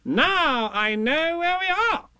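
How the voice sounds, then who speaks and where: A man's voice: very high-pitched, wildly swooping pitch, moderate pace, bright, forceful tone, dry recording, voice pushed up, heavily acted. A man voicing a fussy, self-important rabbit in a storybook reading. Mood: triumphant.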